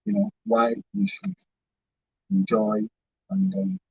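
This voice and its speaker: A man with a Nigerian accent giving an unscripted, halting answer as a guest in a radio discussion. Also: medium pitch, very animated, gravelly, dull audio, slight background noise. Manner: halting, groping for points.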